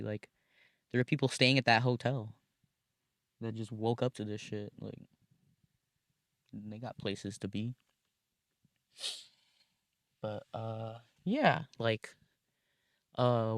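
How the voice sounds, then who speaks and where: A young man hosting a casual solo podcast from inside his car, recorded on a phone, rambling and swearing freely in a thin voice without much bass. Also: no echo, studio quality. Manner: rambling, profane, laid-back with frequent fillers. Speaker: podcast host.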